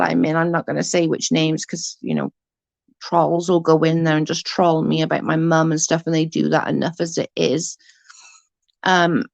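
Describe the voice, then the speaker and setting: A woman with a stutter, chatting casually on a livestream as she reads out viewers' comments, halting and restarting her sentences. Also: fairly low pitch, gravelly, no echo. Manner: rambling, halting, often restarts and repeats words. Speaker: livestream host reading chat.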